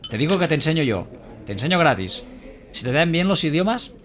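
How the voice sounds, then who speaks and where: A man's voice: a little high, very animated, a little fast, noisy background. A young man with a Valencian accent playing an idealistic programmer who dreams of helping others. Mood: eager. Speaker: programmer and volunteer. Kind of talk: scripted dialogue.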